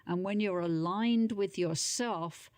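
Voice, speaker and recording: A woman meditation teacher speaking as a podcast guest in a slow, calm and measured way. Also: medium pitch, no room sound, clean studio sound. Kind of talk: calm interview answers.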